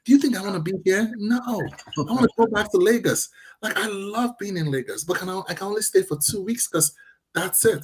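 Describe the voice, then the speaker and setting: A man from Nigeria with a light Nigerian accent, a podcast guest telling his story in a quick, animated way. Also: high-pitched, slight background noise, rough recording. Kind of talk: podcast conversation.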